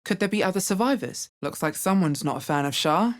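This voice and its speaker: A woman playing a wary, hard-bitten young adventurer in a fantasy video game, with a deep, husky voice and a guarded, terse delivery. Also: expressive, a little fast, lightly acted. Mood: guarded, observant. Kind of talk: scripted video game character voice lines.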